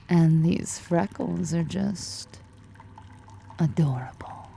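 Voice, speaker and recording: A woman's voice: warm tone, slight background noise, exaggerated voice. A woman playing a powerful, predatory drider ruler in an ASMR roleplay, half-whispering with teasing, flirtatious menace. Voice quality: breathy, half-whispered, creaky low voice.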